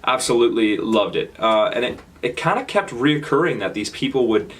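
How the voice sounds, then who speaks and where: A young Canadian man with a Canadian accent giving a casual stage talk, halting with fillers and restarts. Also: mid-range voice, expressive, a little hiss or hum. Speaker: speaker. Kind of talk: public talk.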